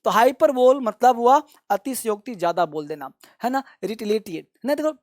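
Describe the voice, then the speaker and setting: A man's voice: extremely high pitch, lively intonation, normal speed, dry recording, clean studio sound. A male English vocabulary teacher who gives a classroom-style lesson, repeats each word and has his students say it after him, and calls them his children. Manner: addresses viewers fondly as children.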